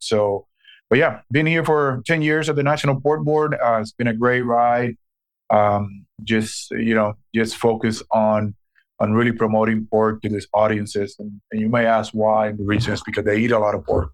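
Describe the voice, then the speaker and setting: A middle-aged man from Puerto Rico, a marketing director answering interview questions with many pauses and restarts. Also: fairly low pitch, rough recording. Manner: hesitant, many fillers and restarts.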